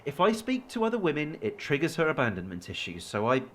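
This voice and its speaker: A man's voice: on the high side, wildly swooping pitch, quick pace, fairly bright tone, slight background noise. A man acting in an audio drama as a defensive, anxious victim of a controlling partner, hesitant and earnest. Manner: hesitant, earnest, self-justifying.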